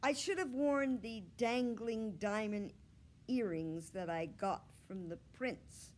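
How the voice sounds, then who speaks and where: A woman's voice: fairly high pitch, slow, voice pushed up. Woman voicing a confident, showy little schoolgirl. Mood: self-assured, showy. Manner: self-assured, declarative, showy. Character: confident theatrical little schoolgirl who loves attention.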